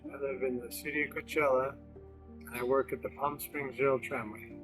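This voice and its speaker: A man's voice: medium pitch, steady tone, normal speed, music in the background, low-quality recording. A man in his forties speaking confidently and plainly in a documentary interview. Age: 40s.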